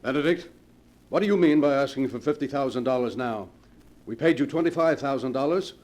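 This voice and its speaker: A man's voice: mid-range voice, brisk, a little mellow, slight background noise, close to an everyday voice. An actor playing an indignant, blustering man in 1940s radio drama style.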